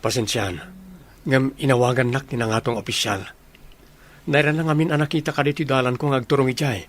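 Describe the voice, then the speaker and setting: An actor playing an earnest adult son and suitor in a radio drama, with an Ilocano accent. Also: male voice, medium pitch, steady tone, fairly quick, close to an everyday voice. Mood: earnest, persuading.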